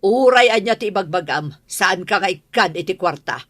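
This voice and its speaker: Sharp-tongued Ilocano mother, swooping delivery. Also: mid-range voice, expressive, moderate pace, dry recording, clean studio sound. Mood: angry, scolding. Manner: blunt, scolding. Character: stern, scolding mother who distrusts her daughter's man. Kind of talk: Filipino radio drama acting.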